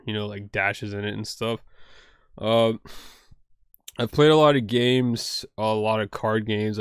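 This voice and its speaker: Rambling man, low smooth voice. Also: no room sound, studio quality. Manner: rambling, many fillers and "like", self-deprecating. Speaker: card game content creator. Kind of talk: casual talk to camera.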